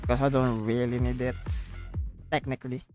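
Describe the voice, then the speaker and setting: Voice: medium pitch, level tone, a little fast, a little mellow, faint music behind. A streamer chatting casually on a livestream in a rambling way, often repeating phrases.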